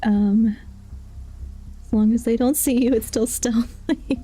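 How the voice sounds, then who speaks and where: Mellow-voiced woman streaming a game. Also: on the high side, fairly even intonation, slow, breathy edge, faint music behind. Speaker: game livestreamer. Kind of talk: casual chat while playing.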